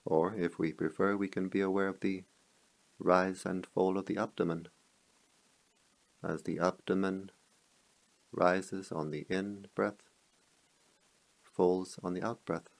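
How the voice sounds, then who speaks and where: A man guiding a meditation as a teacher, speaking calmly in measured short phrases with long pauses. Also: deep voice, creaky, rough recording. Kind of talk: guided meditation.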